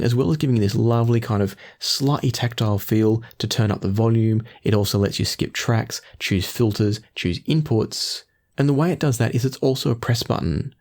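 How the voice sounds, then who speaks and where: A man's voice: mid-range voice, darkish tone. A man presenting a product review, explaining the gear in a conversational, walkthrough way.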